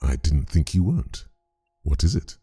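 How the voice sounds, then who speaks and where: Gentle parish priest, extremely low voice, husky, earnest, audio drama. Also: male voice, sing-song, normal speed, heavily acted. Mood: mild, curious. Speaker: voice actor.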